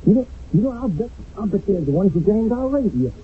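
An actor playing an excitable, stubborn American soldier held captive in a radio drama, talking in an agitated, colloquial way. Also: male voice, high voice, a little fast, husky, exaggerated voice. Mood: agitated, suspicious. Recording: muffled narrowband old radio recording.

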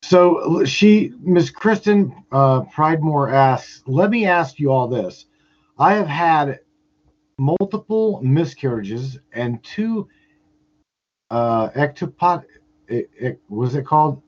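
A man's voice: on the high side, expressive. Stammering male podcast host, muffled sound. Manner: halting, stammering, with many repeated words. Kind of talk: casual podcast conversation.

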